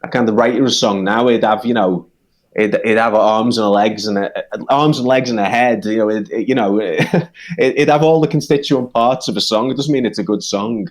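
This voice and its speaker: A man from the United Kingdom, a songwriter guest in casual conversation, talking in halting bursts full of restarts and growing animated as he makes his point. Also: on the low side.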